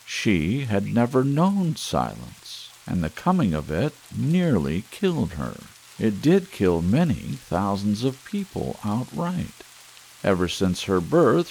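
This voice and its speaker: A man narrating a story as a sleep audiobook in a measured, dramatic literary style with deliberate pauses. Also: lowish voice, poor audio. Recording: clear steady rain hiss behind voice. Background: rain sounds.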